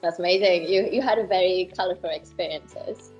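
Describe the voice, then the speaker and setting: A woman's voice: on the low side, a little fast, a little mellow, muffled recording, soft background music. A woman hosting a podcast interview, asking questions casually with frequent ums. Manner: hesitant, frequent fillers. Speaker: podcast host.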